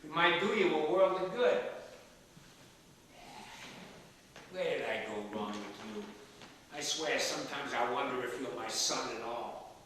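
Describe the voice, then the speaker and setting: A man's voice: mid-range voice, lively intonation, moderate pace, fairly bright tone, close to an everyday voice. An older male actor playing a feisty, wisecracking elderly father in a comedic stage play, emphatic and sardonic. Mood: teasing. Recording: echoey theater stage, distant mic, faint noise.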